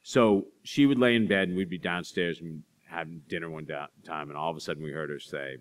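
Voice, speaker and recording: A man's voice: sits low, a little fast. Man telling stories at a library event. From Boston area. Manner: animated storyteller, acts out voices in anecdotes, self-deprecating. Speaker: author speaking to an audience. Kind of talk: storytelling talk and audience Q&A. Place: library stage in front of a curtain.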